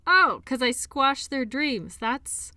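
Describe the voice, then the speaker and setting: A woman acting an earnest, defensive and lonely sports doctor in an intimate audio drama, speaking in an emotional, halting way. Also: high-pitched, big pitch swings, sharp tone, no room sound, heavily acted. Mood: sheepish. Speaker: audio roleplay performer.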